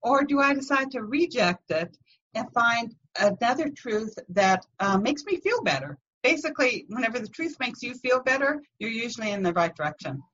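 A middle-aged woman with a husky, fairly high voice talks casually as a podcast guest. She tells her stories with energy and acts out the voices of the people in them. The audio is dull and lo-fi. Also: normal speed. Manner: animated storyteller acting out voices in anecdotes. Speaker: podcast guest, confidence coach. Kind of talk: casual interview chat.

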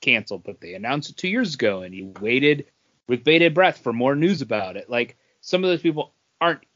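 Guy co-hosting podcast, punchy bright voice, dry sound, bit lo-fi, just chatting. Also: medium pitch, very animated, brisk, no room sound. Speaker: podcast co-host. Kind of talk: casual chat, animated explaining. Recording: dull, dry lo-fi audio.

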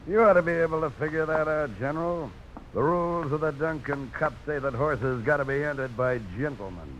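A man's voice: a little high, fairly quick, natural voice. An actor in a radio drama playing a sly dive-bar owner with a hearty, folksy salesman's manner. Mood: sly, boastful. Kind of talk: 1950s radio drama acting. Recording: noisy old radio broadcast.

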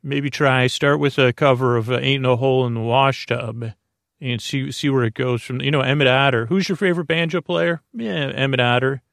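A man hosting a bedtime podcast, talking softly in a lulling, meandering way, full of fillers and restarts. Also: medium pitch, fairly even intonation, moderate pace, gravelly, no room sound, clean studio sound. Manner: soft, lulling delivery. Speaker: podcast host. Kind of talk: rambling bedtime podcast talk.

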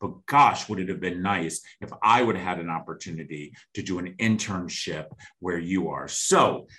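A man's voice: low voice, moderate pace, pushed and bright. A man in his fifties hosting an interview show, blunt and emphatic, often saying "you know" and "okay". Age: 50s. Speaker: show host.

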